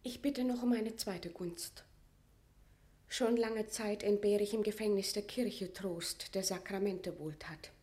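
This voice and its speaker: A woman's voice: mid-range voice, unhurried, a little mellow, natural voice. An actress playing a proud, aggrieved imprisoned queen, dignified and imperious, with formal declamatory diction in a classical German verse radio drama.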